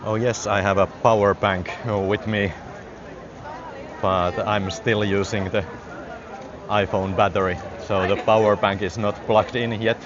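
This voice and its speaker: A man's voice: on the low side, little pitch movement, a little slow, highs cut off, crowd noise. A man with a Finnish accent chatting casually to his viewers on a live stream while walking outdoors through a city parade, chuckling often. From Finland. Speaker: livestream walking vlogger. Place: outdoors on a busy city street during a parade. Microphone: handheld phone.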